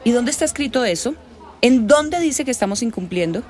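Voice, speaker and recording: A woman's voice: a little high, animated, fairly quick, a little mellow, faint music behind, lightly acted. A woman playing a haughty, obstructive hospital director in a radio drama. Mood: dismissive.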